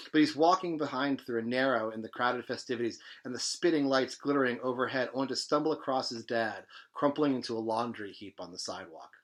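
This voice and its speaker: A man reads as a hip late-sixties storyteller from a novel, posing as a news anchor. The delivery is emphatic, slangy and driving, in a medium-pitched male voice. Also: a bit bright, natural voice. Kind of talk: dramatic reading of literary fiction.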